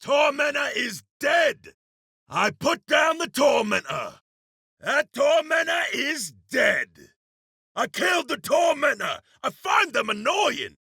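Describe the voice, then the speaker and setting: A man voicing a battle-hungry iron berserker for a video game, gruff and cocky, with rough, forceful barks. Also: extremely high pitch, very animated, slow pace, no room sound, studio quality, heavily acted. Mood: urgent. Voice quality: gravelly, rough.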